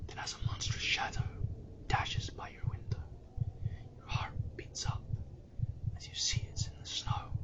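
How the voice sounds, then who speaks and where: Man as eerie whispering creature; unvoiced, breathy, chanting dark rhymes, horror audio drama. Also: dull audio, close to an everyday voice. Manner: slow, chanting rhymed verse.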